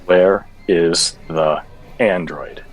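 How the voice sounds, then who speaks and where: A man playing a weary, self-serious hardboiled cop in a radio play, with deadpan noir-style delivery. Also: low-pitched, very slow, heavily acted. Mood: insistent.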